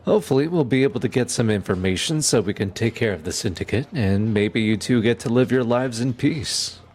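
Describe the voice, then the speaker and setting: A man's voice: medium pitch, fairly quick, a little mellow, a little hiss or hum, lightly acted. A man playing a courteous, businesslike police detective in an audio roleplay.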